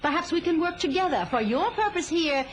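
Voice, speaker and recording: A woman's voice: very high voice, animated, brisk, a little hiss or hum. An actress playing a proud, fierce queen of thieves, imperious and challenging, with archaic phrasing in an audio drama. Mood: scornful, bold.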